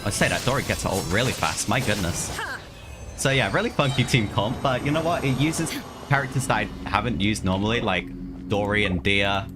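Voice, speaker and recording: A man's voice: on the low side, expressive, normal speed, over music. A man livestreaming a video game, chatting casually with his viewers, often repeating his phrases and calling them lads. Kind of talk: casual live gameplay chat with viewers. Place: voice over live video game play, game music behind.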